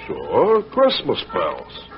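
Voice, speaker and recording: An actor playing a gruff, puzzled, needling American policeman in an old radio crime drama. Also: sits low, wildly swooping pitch, drawn-out words, faint music behind, full character voice. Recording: muffled vintage radio recording.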